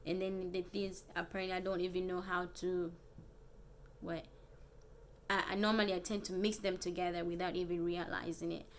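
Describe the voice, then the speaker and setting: A young woman from Africa with an African accent, a native Bemba speaker, chatting casually and earnestly to viewers in a vlog, haltingly and often repeating phrases. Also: lowish voice, level tone, a little hiss or hum. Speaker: vlogger. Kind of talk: casual personal vlog chat.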